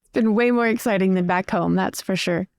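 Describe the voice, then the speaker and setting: A woman's voice: mid-range voice, brisk, darkish tone, dry recording, studio quality, lightly acted. A woman playing a curious, chatty young well-bred woman, talking informally and asking questions in improvised roleplay over music. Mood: friendly interest. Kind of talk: improvised in-character roleplay dialogue.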